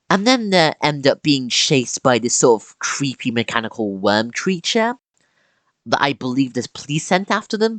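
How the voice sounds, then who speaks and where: Video-essay narrator, mid-pitched, lively swings in pitch, dramatic emphasis, casual asides, close dry recording. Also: no room sound.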